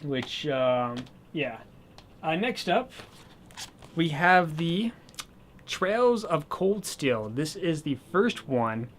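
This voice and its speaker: A man casually showing off his new video games and accessories, chatty and rambling, slightly hoarse as he says he is losing his voice. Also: on the high side, a little hiss or hum. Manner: chatty and rambling, with many fillers. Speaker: gaming channel host. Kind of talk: casual video game haul vlog.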